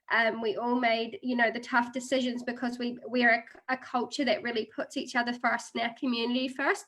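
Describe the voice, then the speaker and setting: A woman in her thirties with a New Zealand accent speaks on a video call. Her voice is bright and sits a little high. She talks in a friendly, chatty way with plenty of "um"s. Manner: friendly, chatty, many fillers. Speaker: guest speaker, international marketing officer. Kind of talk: webinar presentation.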